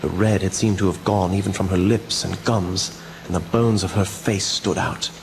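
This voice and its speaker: An actor playing an earnest doctor, narrating formally in a radio drama. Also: male voice, sits low, fairly even intonation, normal speed, vocal fry. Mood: formal, sombre. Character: earnest doctor recounting his fiancee's mysterious illness. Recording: noisy amateur local radio recording.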